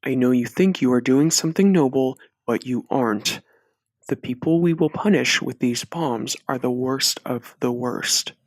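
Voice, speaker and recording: An actor playing a coercive villain in an audiobook, quietly persuasive and patronizing, with false care. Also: male voice, mid-range voice, moderate pace, no echo, close to an everyday voice. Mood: coaxing menace. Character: male villain, falsely caring, coercive older brother. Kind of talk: audiobook character acting.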